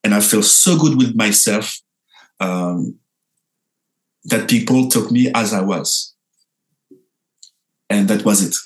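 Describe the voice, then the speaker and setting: Lively French-accented man telling a story. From France. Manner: animated, lively pitch jumps, halting restarts. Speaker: dancer telling his story. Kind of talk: interview storytelling.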